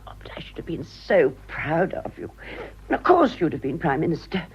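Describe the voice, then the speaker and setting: A woman's voice: deep voice, sing-song, fairly quick, muffled recording, slight background noise. An actress playing a vain, sentimental middle-aged society lady in a British radio drama, with a British accent and a gushing, aristocratic manner. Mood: fond, admiring.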